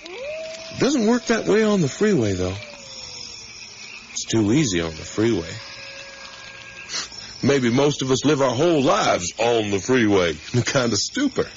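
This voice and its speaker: A man's voice: medium pitch, wildly swooping pitch, measured pace, pauses often. An actor playing an eager middle-aged academic father who rambles and reflects emphatically, in a naturalistic radio drama style. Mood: musing, animated. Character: musing, eager academic father on a motorcycle trip with his son. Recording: dull, noisy 1980s radio recording.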